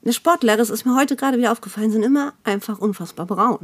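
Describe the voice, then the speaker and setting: A woman's voice: fairly high pitch, fast talker. A woman chatting casually as a podcast co-host in a blunt, colloquial and slangy way. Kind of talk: casual chat.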